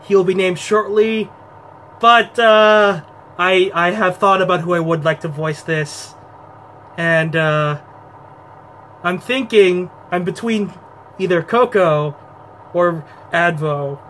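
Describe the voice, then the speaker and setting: A man's voice: sits high, slow pace, long pauses, fairly bright tone, slight background noise. A man hosting a game playthrough, chatty and giggly, talking with his guests. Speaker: let's play host. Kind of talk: casual game commentary.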